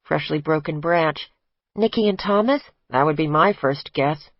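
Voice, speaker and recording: A woman voicing a level-headed man reasoning through a case, with a lowered, even voice. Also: a little slow, dry recording, dull audio. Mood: calm.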